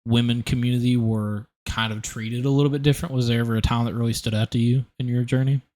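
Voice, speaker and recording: A man's voice: medium pitch, fairly even intonation, fast talker, darkish tone. Earnest young man hosting a podcast. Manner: earnest, rambling, many restarts. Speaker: podcast host. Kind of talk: casual podcast conversation.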